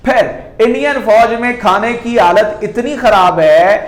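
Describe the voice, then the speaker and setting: A man's voice: high voice, takes it slowly. A man with a Pakistani accent hosts a conversational political commentary, explaining emphatically and answering his own rhetorical questions. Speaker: host of a news commentary video channel. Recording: echoey, reverberant room sound.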